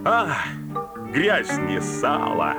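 Wildly animated, sly peasant merchant. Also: male voice, a little high, extremely slow, over loud music, exaggerated voice. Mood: grumbling. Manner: folksy, theatrical, mock-deferential. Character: grimy, sly, stubborn peasant turned rich merchant. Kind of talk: comic fairy-tale audio play acting.

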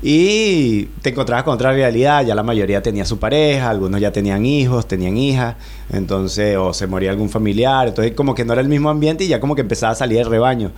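A Venezuelan man in his thirties, sitting as a guest in a radio studio. He talks in a loose, rambling way, circling back, restarting phrases and filling pauses as he tells his travel story. His voice sits at an ordinary middle pitch. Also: darkish tone. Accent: Venezuelan Spanish. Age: 30s. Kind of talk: relaxed radio interview.

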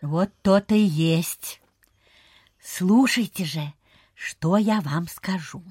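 A smug provincial lady in old radio-theatre style. Her voice is husky and her delivery leisurely, confidential and knowing, with quaint folksy turns of phrase. The recording is dry. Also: lowish voice, slow, darkish tone, no echo. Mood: confiding, self-important. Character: smug, confiding lady neighbour peddling folk cures. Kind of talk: Soviet radio theatre acting.

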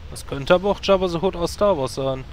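Man voicing a druid guild leader, dry, sarcastic, slightly high, faint hiss. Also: fairly quick, natural voice. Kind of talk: amateur audio drama parody.

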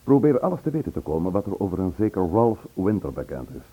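A man acting in a Dutch radio play as a composed, probing gentleman investigator who speaks with measured care. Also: low-pitched, big pitch swings, slightly rough, heavily acted. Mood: businesslike. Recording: muffled narrow-band old radio recording.

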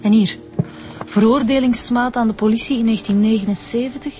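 A woman's voice: a little high, steady tone, brisk, warm tone, soft background music, lightly acted. A woman acting as a polite, earnest and slightly hesitant police inspector in a naturalistic radio drama. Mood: earnest, concerned. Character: conscientious, worried female police inspector, polite and persistent. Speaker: actor in a radio serial.

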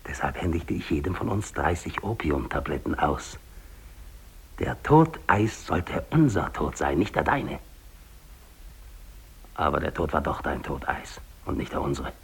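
A man's voice: very deep voice, animated, measured pace, long pauses, breathy edge, full character voice. A male actor playing a lone, dying English polar explorer in a 1950s German radio drama monologue, theatrical, defiant and despairing, moving between muttering and declaiming. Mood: exhausted, resigned.

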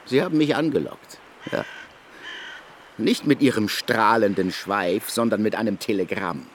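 An actor in a German radio drama playing a scornful criminologist, sarcastic and accusing in a theatrical way. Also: male voice, fairly low pitch, moderate pace, slight background noise, lightly acted. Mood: mocking, probing. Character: scornful male criminologist confronting a suspected murderer.

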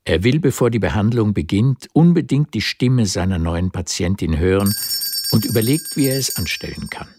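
An actor as the storyteller of a historical radio drama, giving composed, literary scripted narration. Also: male voice, fairly low pitch, lively intonation, moderate pace, a little mellow, slight background noise, lightly acted. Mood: narrative.

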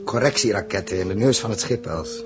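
An actor playing an earnest, resolute spaceship captain with a husky voice and weighty, deliberate delivery in a 1960s radio drama. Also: male voice, lowish voice, huge pitch swings, background noise. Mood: calm command. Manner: weighty, deliberate, halting over phrases. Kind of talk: 1960s Dutch science fiction radio drama acting.

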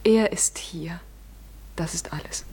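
An actress playing a young woman in love, quietly stubborn, with a breathy voice, in a 1950s German radio drama. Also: lowish voice, slow, in a whisper, exaggerated voice.

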